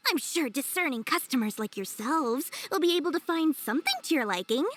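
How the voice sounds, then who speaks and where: Wily merchant girl, high pitch, animated, gushing courtesy, greedy, theatrical, video game. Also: brisk. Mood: smug, courteous. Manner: gushing, sing-song sales patter, overly courteous. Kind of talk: video game cutscene acting.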